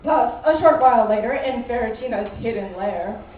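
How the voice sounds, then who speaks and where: A woman's voice: fairly high pitch, lively intonation, normal speed, a little hiss or hum, close to an everyday voice. A woman playing the arch, dramatic narrator of a mock-heroic adventure radio play. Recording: tinny distant echoey convention room.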